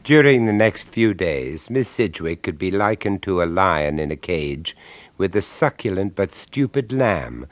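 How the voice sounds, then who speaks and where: An actor narrating a radio drama as an urbane, wry storyteller of horror tales. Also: male voice, low voice, animated, a little slow, no echo. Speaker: host and narrator.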